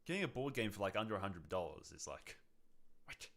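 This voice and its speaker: Young guy, lowish voice, casual podcast co-host chat on a stream. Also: big pitch swings, fairly quick, a bit bright.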